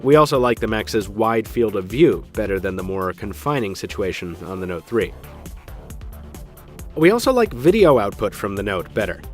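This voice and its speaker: A man with a somewhat low voice reads a scripted gadget review as the host. He leans on key words for emphasis and drops in dry, wry asides. Faint music plays underneath. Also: big pitch swings, normal speed. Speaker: tech reviewer, show host. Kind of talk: scripted product review narration.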